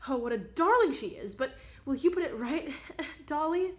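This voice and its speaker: A woman reading aloud, voicing an emotional young society woman with a high, excitable voice. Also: moderate pace. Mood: agitated.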